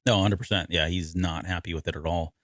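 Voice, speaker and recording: A man co-hosting a podcast, recapping a story in casual, halting conversation and often correcting himself. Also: low voice, steady tone, fairly bright tone, no echo, clean studio sound. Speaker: podcast co-host. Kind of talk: casual discussion recapping an audio drama.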